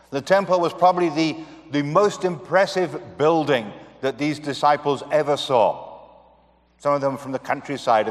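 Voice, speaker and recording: A man from the United Kingdom with a British accent, preaching a sermon to a congregation and asking earnest rhetorical questions. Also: medium pitch. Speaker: guest preacher. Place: church sanctuary. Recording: long reverb of a church hall.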